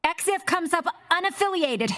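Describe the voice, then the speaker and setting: An actress playing a brisk military support operator under pressure in a video game. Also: female voice, very high voice, lively intonation, a bit bright. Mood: urgent, alert.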